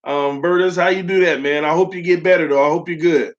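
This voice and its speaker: Young man, livestream host, slightly high voice, flat delivery, sore voice after being sick, dull lo-fi audio. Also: fairly quick. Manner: loose and friendly, heavy "um" fillers, calls viewers "man" and "y'all". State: recovering from illness, voice still sore. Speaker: host of a sports fan livestream. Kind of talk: casual livestream chat, reading viewer comments.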